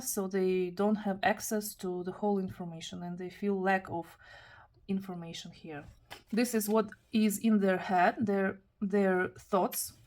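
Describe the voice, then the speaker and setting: A woman from Kazakhstan, not an English speaker, giving a tarot reading in careful, halting English. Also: medium pitch, a little mellow. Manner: careful, halting non-native English with restarts. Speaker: tarot reader.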